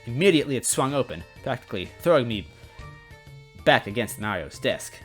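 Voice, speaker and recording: A man narrates a noir-style detective story with animated, varied pitch in a semi-dramatic way. He reads as a casual host who sometimes trips over a line, with music behind him. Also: a little fast. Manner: self-interrupting, stumbling over lines.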